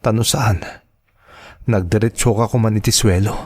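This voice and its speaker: A man's voice: fairly low pitch, fairly even intonation, moderate pace, darkish tone, slightly rough, no echo. A man with an Ilocano accent playing a worried, emotional husband in a radio drama, delivered melodramatically. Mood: worried, soothing. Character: worried husband breaking painful news to his wife.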